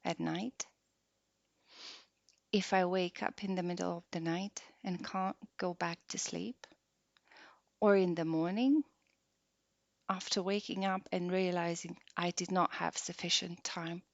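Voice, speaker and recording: Woman doing guided meditation, really slow n soft, long pauses, dull lo-fi dry recording. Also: fairly low pitch, creaky, dry recording. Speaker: yoga nidra meditation guide.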